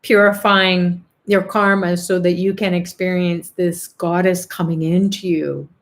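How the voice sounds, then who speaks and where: A woman's voice: on the low side, little pitch movement, measured pace. A middle-aged woman hosting an online chanting and prayer call, chatting casually, reading out comments and laughing often. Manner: upbeat, warm-hearted, laughs often, many fillers. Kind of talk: casual spiritual group chat, reading comments.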